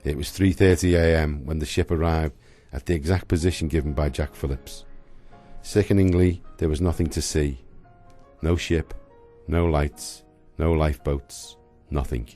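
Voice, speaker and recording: A man's voice: a bass voice, fairly even intonation, moderate pace, warm tone, soft background music. A man giving dramatic scripted narration for a historical radio play.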